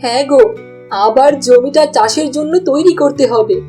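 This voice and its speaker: A worried, pious village wife performed by a woman in a cartoon melodrama. Her voice is high and soft-edged, with a plaintive, pleading way of talking, over faint music. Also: normal speed, lightly acted. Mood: gentle, devout.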